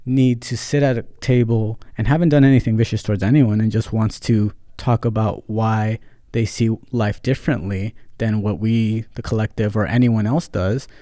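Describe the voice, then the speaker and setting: Candid bilingual man with a mellow voice. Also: on the low side, steady tone, unhurried, no echo, studio quality. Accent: Central American Spanish. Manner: candid, switches between English and Spanish, frequent fillers. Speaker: podcast host. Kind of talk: casual solo podcast monologue.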